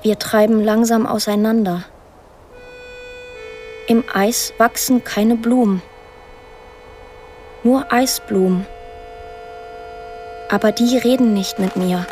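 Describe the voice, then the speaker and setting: An actress voicing a lonely, imaginative eight-year-old girl in a radio drama monologue, plain, deliberate and earnest. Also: a little high, steady tone, a little mellow, faint music behind. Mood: wistful, matter-of-fact.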